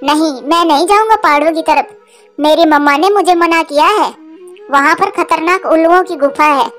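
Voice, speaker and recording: A woman's voice: very high voice, normal speed, a bit bright, soft background music. A woman voicing a timid, obedient young male bird, innocent and dutiful, in a cartoon. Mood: timid, earnest. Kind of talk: Hindi cartoon voice acting.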